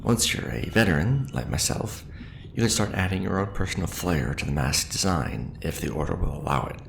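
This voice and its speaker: A man doing a soft-spoken ASMR roleplay as a veteran craftsman, patiently and encouragingly instructing an apprentice in measured phrases. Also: deep voice, expressive. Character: veteran mask maker patiently training a new apprentice. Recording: roomy echo with clear background noise.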